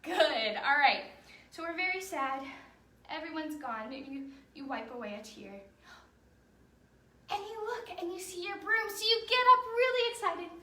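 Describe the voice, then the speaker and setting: A woman teaching a ballet lesson to young children in an encouraging, animated way, explaining things simply and checking in with questions. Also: extremely high pitch, moderate pace. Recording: roomy echo, distant mic, faint noise.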